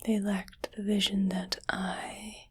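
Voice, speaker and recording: A woman voicing a greedy, rich female alien collector in an ASMR roleplay, whispering softly and deliberately with a coolly appraising, possessive manner. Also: mid-range voice, level tone. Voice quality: soft, breathy whisper. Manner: slow, deliberate, pausing between short phrases, coolly appraising.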